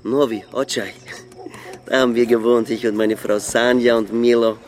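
An actor in a radio play voicing a nervous, eager river trader who speaks haltingly and pleadingly. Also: male voice, medium pitch, little pitch movement, close to an everyday voice. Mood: subdued, halting. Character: eager, nervous war-bereaved male river trader in a canoe.

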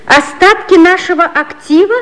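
A high-voiced, lively young secretary. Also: female voice, normal speed. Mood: declaiming. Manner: crisp declamatory reading aloud of newspapers. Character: lively young female secretary to the prime minister. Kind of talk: 1950s radio play acting. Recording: old 1950s radio recording.

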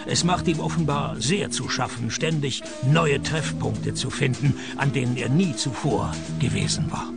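An actor with a Swiss accent playing a wry, laconic male private detective in a radio play, deadpan and sardonic as he talks and narrates. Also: mid-range voice, moderate pace, vocal fry, few pauses, loud music behind the voice, natural voice. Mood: wry narration. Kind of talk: radio play dialogue and first-person noir narration.

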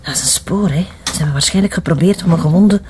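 Actress as low-voiced woman detective. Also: quick pace, noisy background, natural voice. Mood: alert. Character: alert, shocked female police detective.

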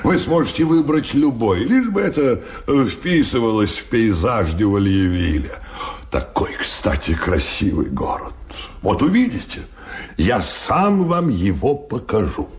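Unctuous, bribe-angling minister for radio drama: grand, insinuating, very animated pitch, unhurried delivery. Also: male voice. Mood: oily, reassuring. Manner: grandiloquent, insinuating, drawn-out phrasing. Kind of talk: Soviet radio drama acting.

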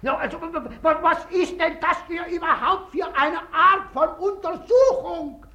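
An actor playing an indignant, excitable elderly citizen in a 1950s radio play, stammering and repeating his words as he blusters. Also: extremely high pitch, normal speed, exaggerated voice. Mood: indignant, agitated.

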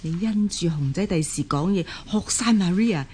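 An actress playing a chatty, concerned female colleague with a Hong Kong Cantonese accent, expressive and gently chiding in radio drama style. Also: lowish voice, normal speed, a little hiss or hum, close to an everyday voice.

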